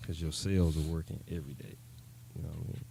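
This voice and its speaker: A man's voice: rumbling low, steady tone, quick pace, vocal fry, mellow, a little hiss or hum. An American man co-hosting a podcast, explaining things in a laid-back, slangy, casual way. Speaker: podcast co-host.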